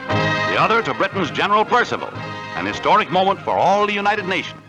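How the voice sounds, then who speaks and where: A man's voice: high voice, very animated, fairly quick, low-quality recording. An American man narrating a 1940s newsreel in a dramatic, declamatory scripted style on a vintage soundtrack. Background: loud music.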